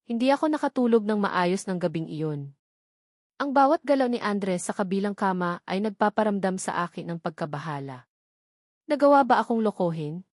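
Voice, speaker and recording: A woman narrates a scripted first-person love story. Her pitch sits in the middle and her delivery is measured and earnest. The sound is dry, with hardly any room in it. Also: darkish tone, no room sound. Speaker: narrator of a romance audio drama.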